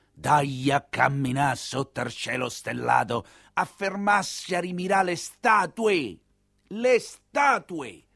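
A man's voice: medium pitch, gravelly, fairly bright tone, dry recording, studio quality, heavily acted. An actor playing an earthy, talkative 19th-century coachman with a Roman accent, telling his tale in a colloquial theatrical dialect monologue, exclaiming and confiding. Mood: exasperated, breathless pace. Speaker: stage and radio actor.

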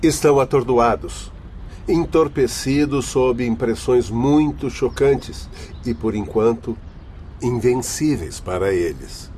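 An actor playing a grave older doctor, speaking in a measured, moralizing radio drama manner. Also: male voice, medium pitch, a little mellow, a little hiss or hum, close to an everyday voice. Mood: solemn. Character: grave older spirit doctor, father of a grown son.

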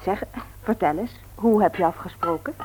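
An actress playing a teasing, lively sister in a Dutch radio play, talking in a colloquial way. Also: female voice, medium pitch, normal speed, natural voice. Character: teasing, lively grown-up sister and daughter of the house. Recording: noisy old radio play recording.

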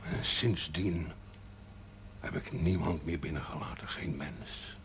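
An actor playing a gruff, stubborn, earnest rural man in a naturalistic television period drama. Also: very deep voice, slow pace, heavily acted. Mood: hushed, grieving. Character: gruff, stubborn rural man, former poacher turned faith healer. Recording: 1970s TV audio with faint noise.

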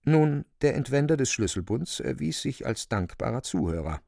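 A male narrator with a creaky, gravelly voice at medium pitch. He delivers scripted literary narration for a radio drama, in a very dry, close sound. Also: sing-song, moderate pace, warm tone, no echo.

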